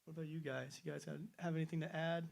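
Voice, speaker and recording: Slightly high-voiced teenage musician. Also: male voice, little pitch movement, quick pace, vocal fry. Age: teens. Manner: chatty, self-deprecating storyteller. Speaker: band member guest. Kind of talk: casual interview answers.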